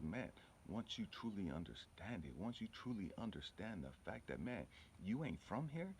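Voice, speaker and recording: Very deep-voiced man giving spiritual talk. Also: a little hiss or hum. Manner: emphatic, repetitive, colloquial, often checks in with the listener. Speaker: spiritual healer and channel host. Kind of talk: informal motivational spiritual talk.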